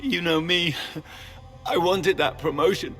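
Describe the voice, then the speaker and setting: An actor playing a dying, betrayed knight in a video game, weakened and strained with pain. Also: male voice, on the high side, a little slow, a bit bright. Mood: pleading. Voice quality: gravelly, strained. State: in agony, weakened. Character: dying male knight, tormented, bitter, betrayed. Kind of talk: dramatic video game acting. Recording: music behind the voice.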